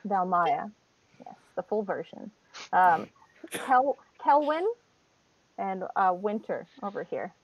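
A woman's voice: medium pitch, very animated, slow, long pauses, dry recording, muffled recording. A woman playing a tabletop game over a video call, improvising casual in-character chat in a hesitant, rambling way.

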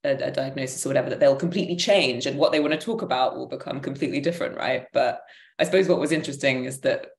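A woman's voice: very deep voice, quick pace, gravelly. A woman in her thirties giving reflective, hesitant answers as an interview guest on a video call, often correcting herself as she goes. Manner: hesitant, thoughtful, self-correcting. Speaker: interview guest, theatre maker. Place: living room at home, indoors, on a video call. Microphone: computer microphone on a video call. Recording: roomy, echoing video-call audio.

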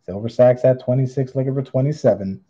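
A man hosting a live online auction, calling bids with theatrical, drawn-out singsong phrases. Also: mid-range voice, warm tone, slightly rough, highs cut off. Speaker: online auctioneer, channel host. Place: indoors at a tabletop display.

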